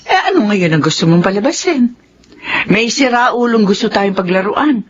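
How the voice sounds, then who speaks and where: A woman's voice: mid-range voice, expressive, moderate pace, lightly acted. An actress playing a stern, hot-tempered middle-aged mother in a Filipino radio drama, confrontational and exclamatory. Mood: stern, probing. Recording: dull lo-fi radio sound, slight noise.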